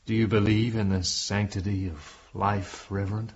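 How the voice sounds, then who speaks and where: An actor playing a tormented, remorseful man who probes and challenges with slow intensity in a radio drama. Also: fairly low pitch, level tone, husky, lo-fi sound. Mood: subdued, probing. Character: tormented guilty man questioning a priest, bitter and remorseful.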